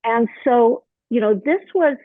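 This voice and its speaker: A slightly hoarse woman chatting as an interview guest, telling stories animatedly with lots of fillers. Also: on the high side, lively intonation, unhurried, no room sound. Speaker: podcast guest, author and actor. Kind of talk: casual interview chat. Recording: dry, thin phone-line sound.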